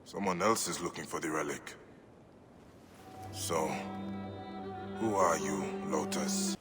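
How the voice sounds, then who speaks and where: An actor playing a grave, determined warrior who speaks tersely in a game cutscene. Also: male voice, extremely low pitch, slow, big-room sound, over music. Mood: stern.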